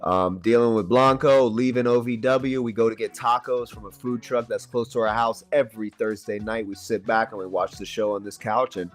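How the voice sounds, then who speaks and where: A man's voice: fairly low pitch, fairly even intonation, moderate pace, hardly any pauses, faint music behind. A man in his late twenties, a wrestler chatting casually on a livestream in a laid-back, slangy way. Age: 20s. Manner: laid-back, slangy, says "bro" a lot. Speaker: wrestler guest.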